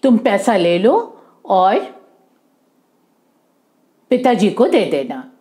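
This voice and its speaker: A woman voicing a kind, affectionate employer giving gentle instructions in a children's story. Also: mid-range voice, sing-song, very slow. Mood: kindly.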